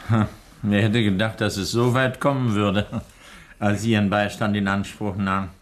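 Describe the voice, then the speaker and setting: An actor playing an older nerve doctor in a 1960s German radio crime drama, formal, thoughtful and hesitant. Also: male voice, on the low side, flat delivery, normal speed, close to an everyday voice. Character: anxious, deliberate older male nerve doctor, a father.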